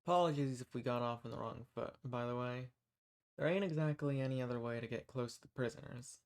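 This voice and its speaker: A man's voice: medium pitch, a little fast, darkish tone, lightly acted. A man playing a folksy undercover rebel among monster hunters in a roleplay audio drama. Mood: friendly, reassuring. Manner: folksy, reassuring. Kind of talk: scripted roleplay audio drama addressed to the listener.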